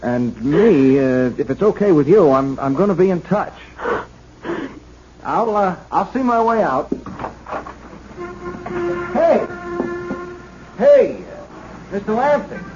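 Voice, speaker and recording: An actor with an American accent playing a young, nosy police officer in a 1970s radio drama, folksy and awkward, stammering but dogged. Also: male voice, high voice, very animated, slow pace, long pauses, full character voice. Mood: casual, hesitant. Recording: muffled, noisy old radio broadcast.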